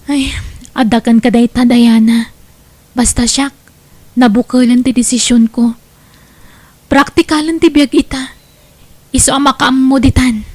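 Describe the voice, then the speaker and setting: A woman's voice: high-pitched, fairly even intonation, unhurried, pauses often, darkish tone. A woman playing a young, pragmatic mistress in an Ilocano radio drama, pleading her case in a matter-of-fact way. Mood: resolute.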